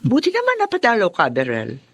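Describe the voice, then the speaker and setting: An actress playing a lively, chatty aunt who welcomes her nephew, in a radio drama. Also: on the high side, brisk, no room sound, full character voice. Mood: animated. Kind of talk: Filipino radio drama acting.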